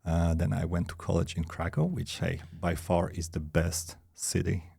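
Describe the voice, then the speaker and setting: A man in his forties with a Polish accent, a guest in a casual interview. His voice sits deep and a bit husky, with a dark, mellow tone. He talks in halting restarts, sprinkled with "you know" and "hey". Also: flat delivery. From Poland. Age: 40s. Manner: hesitant, repeats words, says "you know" and "hey" often. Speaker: guest, director of engineering.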